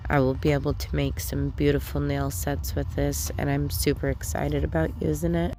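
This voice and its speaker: Young female haul host, deep creaky voice, slightly congested, upbeat and chatty. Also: level tone, moderate pace, warm tone, faint music behind. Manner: chatty, enthusiastic, filler-heavy. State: stuffy, getting over a cold. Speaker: nail channel host. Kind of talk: casual haul review to camera.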